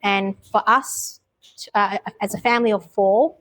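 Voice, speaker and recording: A woman's voice: mid-range voice, steady tone, moderate pace, a bit bright. An Australian woman talking openly about her life, with frequent ums and restarts. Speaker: interviewee. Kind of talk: casual interview answers.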